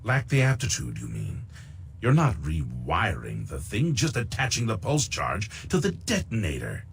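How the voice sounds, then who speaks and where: Game villain: middle-aged smooth-talking recruiter, very low creaky voice, pompous and menacing, lingering over words. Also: male voice, wildly swooping pitch, slight background noise, heavily acted. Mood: smug, cold. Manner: pompous, unctuous, deliberate, florid diction. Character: suave, sinister middle-aged male recruiter for shadowy interests. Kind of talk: theatrical video game dialogue.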